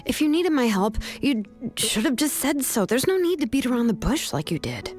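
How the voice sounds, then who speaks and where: A woman's voice: high voice, sing-song, moderate pace, darkish tone, faint music behind. A woman playing a frank, businesslike young female craft expert in a video game, firm and matter-of-fact. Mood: exasperated, earnest. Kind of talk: video game dialogue acting.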